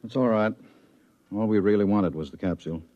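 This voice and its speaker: A man playing a steady bureau chief giving a briefing, in 1950s radio drama style. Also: on the low side, fairly quick, lightly acted. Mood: matter-of-fact. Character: steady male bureau chief briefing his trusted investigator. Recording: muffled vintage 1950s radio recording.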